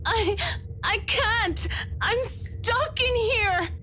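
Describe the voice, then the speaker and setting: An actress playing a frightened, weakened woman trapped in a cell, pleading haltingly in a tearful voice for a visual novel game. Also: very high-pitched, pushed and bright, a little hiss or hum, heavily acted. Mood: desperate. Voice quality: shaky, tearful.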